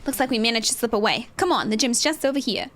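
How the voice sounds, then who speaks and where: A woman's voice: fairly high pitch, animated, quick pace, fairly bright tone. Woman doing a breezy New Jersey fighter gal. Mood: breezy, welcoming. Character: friendly confident female fighter, casual older sister.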